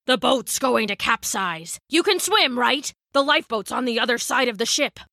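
A woman's voice: fairly high pitch, animated, moderate pace, bright, forceful tone, no echo, studio quality, exaggerated voice. A woman voicing a commanding male ship captain, an uncle giving urgent orders in a storybook narration.

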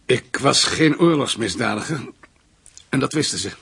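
Husky, cornered ex-SS man. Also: mid-range voice, animated, moderate pace, fairly bright tone, exaggerated voice. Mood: tense, bargaining. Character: cornered German ex-SS husband, anxious and bargaining. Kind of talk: Dutch radio drama acting.

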